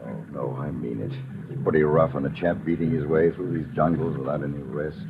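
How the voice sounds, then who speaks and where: A man playing a suave, testy agent in an old radio adventure, smooth and theatrical. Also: extremely low pitch, fairly quick. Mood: softly sympathetic. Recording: muffled, noisy vintage radio recording.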